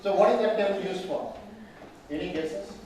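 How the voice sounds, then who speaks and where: A man's voice: sits high, normal speed, dark tone, far from the mic. A man, teaching a mediation class and often asking the room questions. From Tamil Nadu, India. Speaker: mediation trainer. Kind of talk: classroom lecture. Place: large echoey training hall. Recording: very echoey hall, speaker off mic, faint room hum.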